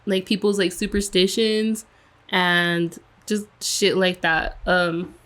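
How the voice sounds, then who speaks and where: A young woman in her twenties co-hosts a relaxed podcast with her partner. Her talk is loose and profane, full of fillers and quick laughs. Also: on the low side. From United States. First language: Spanish. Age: 20s. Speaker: podcast co-host. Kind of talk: casual chat.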